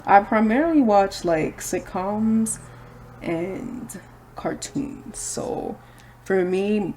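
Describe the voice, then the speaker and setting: A woman's voice: fairly low pitch, creaky, a little mellow, slight background noise. A woman, chatting casually and candidly while doing her makeup. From Brooklyn, New York. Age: 20s. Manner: chatty, candid, slangy asides. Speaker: vlogger.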